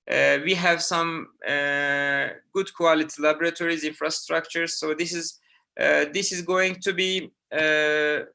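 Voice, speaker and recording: A middle-aged man from Turkey speaks English with a Turkish accent, answering students' questions as a professor. His voice sits a little high and sounds bright and forceful. He halts often, filling pauses with "uh" and restarting his sentences. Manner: halting, many "uh" fillers and restarts. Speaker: university professor. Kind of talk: online info session answering student questions.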